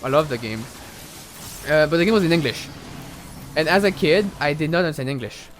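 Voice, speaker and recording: A man's voice: a little high, animated, fairly quick, a little hiss or hum. A young man with a French accent, a native French speaker, chatting and rambling casually while streaming a game, with many fillers and restarts. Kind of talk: casual live gaming commentary.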